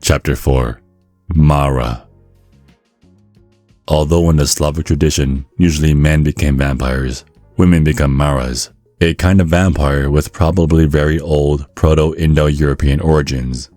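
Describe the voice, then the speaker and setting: A man reading scripted ASMR narration in a measured, storytelling manner. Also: rumbling low, steady tone, warm tone, dry recording, faint music behind.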